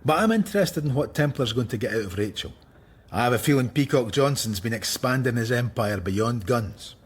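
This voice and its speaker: Husky, thoughtful man. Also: mid-range voice, lively intonation, fairly quick. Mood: musing. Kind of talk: radio drama acting.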